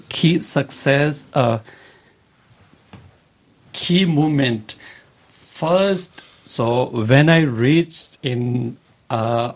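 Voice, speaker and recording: A man from Pakistan, answering interview questions on the radio in earnest, halting, formal phrasing. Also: mid-range voice. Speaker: radio guest. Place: radio studio.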